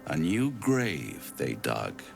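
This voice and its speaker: An actor playing an urbane, scheming devil in a video game, speaking in a theatrical, grandiloquent and menacing manner. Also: male voice, very deep voice, huge pitch swings, takes it slowly, creaky, faint music behind, exaggerated voice. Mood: ominous reciting. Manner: theatrical, silky, verse-quoting, grandiloquent. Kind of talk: video game dramatic acting.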